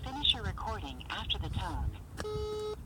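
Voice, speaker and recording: A woman's voice: medium pitch, huge pitch swings, measured pace. A woman's automated voice reading a recorded voicemail system prompt. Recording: noisy, captured through a phone call.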